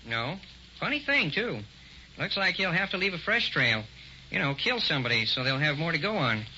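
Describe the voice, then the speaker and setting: An actor playing a chatty, worried hotel clerk in 1940s radio acting style. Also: male voice, a little high, very animated, moderate pace, bright, forceful tone, exaggerated voice. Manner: folksy, chatty. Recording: dull old radio recording, faint hiss.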